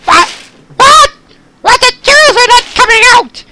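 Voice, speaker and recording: Through loud noise comes an extremely high-pitched little dog. It is excitable, hungry and impatient, pleading in frantic, repeated bursts. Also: unhurried. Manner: frantic, repetitive, pleading exclamations. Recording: noisy recording with loud clicks.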